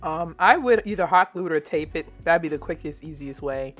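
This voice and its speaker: A woman's voice: low-pitched, a little fast, soft background music. An American woman presenting a children's craft tutorial in a chatty, informal, encouraging way.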